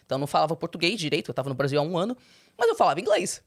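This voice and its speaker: Interview guest, young woman, low-set voice, expressive, leaps up when quoting. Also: very fast. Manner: expressive, swings into high animated quoting. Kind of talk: candid personal storytelling.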